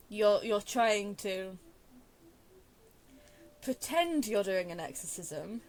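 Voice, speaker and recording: A woman's voice: mid-range voice, rough recording. A young woman giving casual let's play commentary, rambling and thinking aloud. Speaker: gamer commenting on her playthrough.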